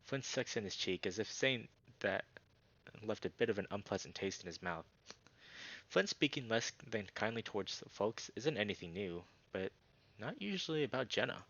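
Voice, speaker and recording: A man's voice: fairly low pitch, expressive, moderate pace, a bit bright, dull audio. A man reading scripted story narration from a visual novel. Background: background music.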